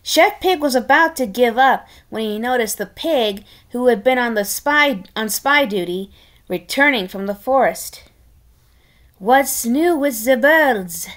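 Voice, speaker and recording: A woman's voice: on the high side, expressive, normal speed, a bit bright. A woman reading a storybook aloud expressively, with wry, sardonic asides. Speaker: storybook reader.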